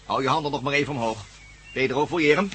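A man's voice: mid-range voice, quick pace, background noise, lightly acted. An actor playing a megalomaniac villain in a radio drama, menacing, pompous and theatrical, gloating over his schemes. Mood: smug, menacing. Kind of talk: Dutch radio drama acting.

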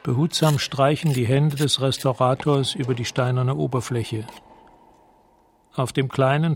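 A man narrating a scripted radio drama in a measured, matter-of-fact way, describing scenes in the present tense. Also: medium pitch, dry recording.

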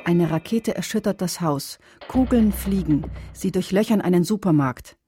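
A woman's voice: on the low side, normal speed, mellow, faint music behind, lightly acted. A woman reciting poetry in a radio play as a sober chronicler of war, in a plain, declarative manner. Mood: sober, stark.

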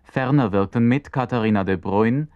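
A man's voice: medium pitch, normal speed, a little mellow, clear, resonant tone, no echo, dull audio. A man working as a radio announcer, formally reading out scripted closing credits.

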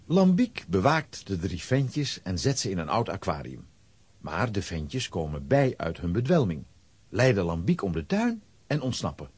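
A man narrating a children's adventure story in a scripted, dramatic style, with a husky voice. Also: low voice, wildly swooping pitch, normal speed, a little mellow.

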